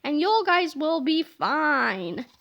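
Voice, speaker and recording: A smug know-it-all boy genius from a storybook, put on by a woman reading aloud. His tone is bright and pleased with itself. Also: high-pitched, very animated, slow, gravelly, dull audio, a little hiss or hum, heavily acted. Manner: smug and self-satisfied.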